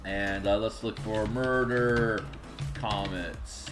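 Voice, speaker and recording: A raspy, tired man chatting casually on a live stream while making game art, rambling and muttering to himself as he works. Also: lowish voice, fairly even intonation, slow, music in the background. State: tired, just woke up.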